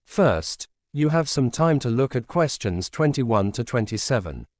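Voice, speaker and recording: Male announcer for exam instructions: neutral, formal, deliberate, middle-pitched, studio-dry. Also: very animated, no echo. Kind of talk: scripted test instructions.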